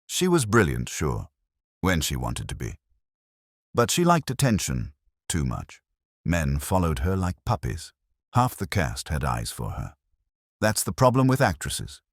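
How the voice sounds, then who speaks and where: A harried theater stage manager in his forties acted by a man, bitter and suspicious. His voice is very low with lively swings, and he talks in curt, sour bursts in a detective audiobook. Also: unhurried, no room sound, studio quality, full character voice. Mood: bitter, nervous.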